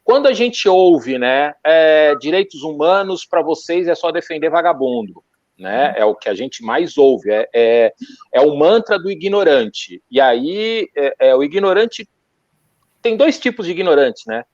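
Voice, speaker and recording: Male host, relaxed and slangy; muffled close recording. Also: a little high, unhurried, dry recording. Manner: relaxed slang, repeats himself while searching for words. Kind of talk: casual interview chat. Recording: dull, dry sound.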